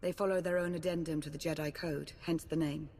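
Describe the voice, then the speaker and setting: An actress playing a cool, shrewd, formal intelligence minister in a game cutscene, speaking with measured poise. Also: female voice, fairly even intonation, a little mellow. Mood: cool, composed. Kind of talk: dramatic game cutscene acting. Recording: long reverb, faint rumbling noise.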